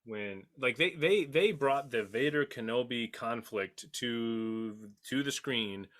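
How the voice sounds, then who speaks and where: A man's voice: mid-range voice, takes it slowly, a bit bright. A man in casual podcast discussion, speaking with emphatic, dramatic phrasing and often repeating words for weight. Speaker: podcast panelist.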